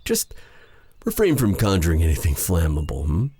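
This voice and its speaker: A man playing a brooding, remorseful dark sorcerer in an intimate fantasy ASMR roleplay, speaking formally and haltingly to the listener in a husky, breathy voice. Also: deep voice, huge pitch swings, no room sound, heavily acted. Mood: tender, hesitant. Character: brooding, remorseful dark sorcerer, bitter yet tender captor.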